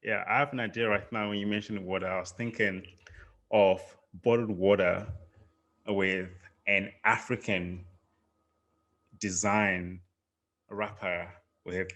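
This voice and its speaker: A man's voice: on the low side, fairly even intonation, slow pace, pauses often, a bit bright. A man hosting a podcast, talking casually with stammering restarts and easy laughs. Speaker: podcast host. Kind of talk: podcast conversation.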